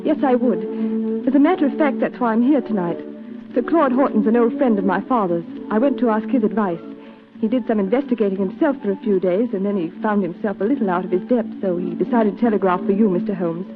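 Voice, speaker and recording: A woman's voice: medium pitch, fast, natural voice. An actress playing a refined, anxious young English lady, earnest and well-bred, in 1940s radio drama style. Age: young adult. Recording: muffled vintage radio recording with music.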